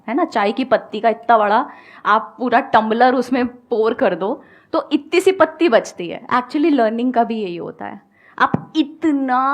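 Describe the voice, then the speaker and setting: A young woman with a fairly high voice gives advice from a stage. She speaks calmly and coaxingly, leaning on everyday examples to make her points. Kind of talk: motivational talk and audience Q&A. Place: stage before a live audience.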